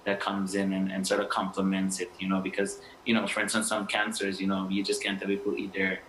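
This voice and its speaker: A man's voice: sits low, completely flat delivery, a little fast, fairly bright tone. A man from Guyana speaking as an interview guest, hesitating with many fillers and restarts. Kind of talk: interview answers. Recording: echoey room with faint noise.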